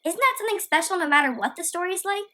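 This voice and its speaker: Woman playing a bright, high-voiced plucky girl heroine. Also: animated, quick pace, full character voice. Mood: encouraging. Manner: chatty, matter-of-fact, gently corrective. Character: plucky, optimistic girl heroine who contradicts her narrator. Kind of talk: radio play acting.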